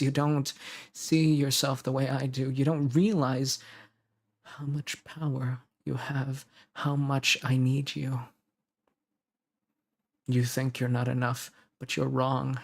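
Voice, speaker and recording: A man playing a devoted, protective male lover in romantic roleplay audio, speaking earnestly and pleadingly with dramatic pauses. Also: mid-range voice, vocal fry, husky.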